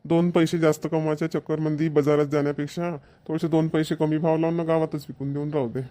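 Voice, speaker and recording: A man's voice: a little high, level tone, quick pace, warm tone, close to an everyday voice. A man voicing an agreeable, easygoing middle-aged farmer husband in a cartoon comedy, with a rural Maharashtrian Varhadi accent. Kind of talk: Marathi cartoon comedy voice acting.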